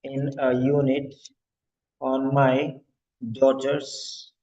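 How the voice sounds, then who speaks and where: A man voicing a frail ninety-year-old client who is moving to a retirement home, said in slow, halting phrases. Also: mid-range voice, completely flat delivery, a little mellow, low-quality recording.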